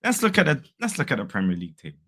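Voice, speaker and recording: Hoarse young guy doing a footy reaction vid, chatty and upbeat, says um a lot. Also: medium pitch, wildly swooping pitch, rapid-fire, a bit bright. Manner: chatty and upbeat, lots of fillers. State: losing his voice. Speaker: football fan channel host. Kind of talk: casual match reaction vlog.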